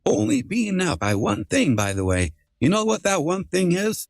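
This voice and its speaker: A man's voice: high-pitched, wildly swooping pitch, normal speed, dry recording, clean studio sound. A man hosting a casual livestream, chatting informally with some swearing and often repeating his phrases. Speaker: livestream host.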